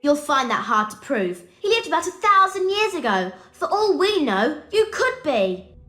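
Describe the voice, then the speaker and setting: A woman's voice: high-pitched, big pitch swings, normal speed, sharp tone, heavily acted. A woman playing a clever, bossy schoolgirl witch in a video game, explaining things earnestly like a know-it-all. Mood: earnest, concerned.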